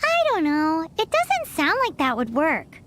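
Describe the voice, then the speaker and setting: Squeaky, very high-pitched tiny fairy girl. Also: wildly swooping pitch, unhurried, fairly bright tone, slight background noise, heavily acted. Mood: puzzled, surprised. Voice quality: squeaky, childlike. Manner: refers to self in third person, exclamatory. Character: tiny excitable floating fairy girl companion. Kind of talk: anime game dub.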